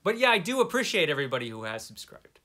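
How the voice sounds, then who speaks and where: A man's voice: fairly high pitch, big pitch swings, quick pace, bright, forceful tone. A man hosting a book vlog in casual, unscripted chat, informal and self-deprecating, drawing out words for emphasis. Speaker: book vlogger, channel host.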